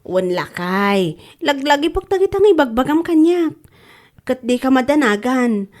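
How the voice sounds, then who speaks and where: A woman's voice: high-pitched, very animated, measured pace. A woman playing a devoted, hardworking older wife in a radio comedy-drama. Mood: reassuring.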